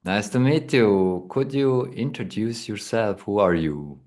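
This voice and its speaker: A man's voice: lowish voice, unhurried, a little mellow. A man hosting an interview who asks brief, friendly questions. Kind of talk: casual interview.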